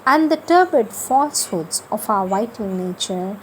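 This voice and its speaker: A woman gives a slow devotional reading of spiritual prose, pausing between short, measured phrases. Her pitch sits in a middle range for a woman. A faint ambient noise runs behind the recording. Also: lively intonation, low-quality recording. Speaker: reader of spiritual texts.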